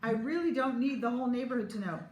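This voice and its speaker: Storybook schoolboy, played by a woman: anxious, excitable, secretive; echoey room, faint noise. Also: on the high side, quick pace, close to an everyday voice. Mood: anxious, reluctant. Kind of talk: children's storybook read-aloud. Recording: roomy echo, clear background noise.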